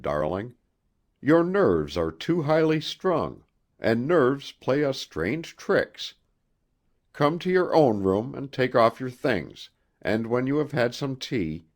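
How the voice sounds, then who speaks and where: A man's voice: mid-range voice, very animated, slow pace, voice pushed up. A man playing a sensible, fond husband in an audiobook, soothing and matter-of-fact. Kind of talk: dramatised audiobook reading.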